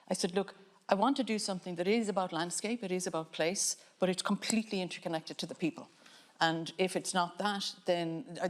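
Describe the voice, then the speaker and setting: An Irish woman, a filmmaker on a panel, giving a reflective talk in a musing, halting way with frequent restarts. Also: fairly low pitch. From Ireland.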